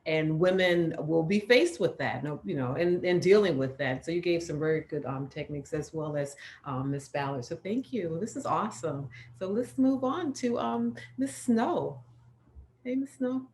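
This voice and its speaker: Low-voiced woman moderator. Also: normal speed, darkish tone, slight background noise. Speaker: panel moderator. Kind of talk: moderating a panel.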